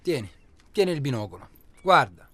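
An actor playing an impatient, gruff hunting guide in a naturalistic radio drama, terse and blunt. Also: male voice, a little high, sing-song, normal speed, pushed and bright, dry recording, full character voice. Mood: terse, pressing. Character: impatient, gruff male guide urging a hunter to shoot.

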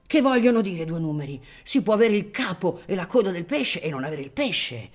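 A man's voice: sits high, big pitch swings, fairly quick, pitched above the performer's own voice. A reader voicing an anxious, agitated poor father who gambles on the lottery. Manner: agitated, raised voice.

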